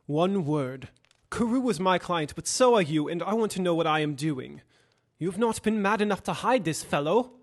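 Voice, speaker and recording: A man acting a cautious, concerned gentleman lawyer in an audio drama, formal and questioning. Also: high-pitched, lively intonation, moderate pace. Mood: stern, questioning.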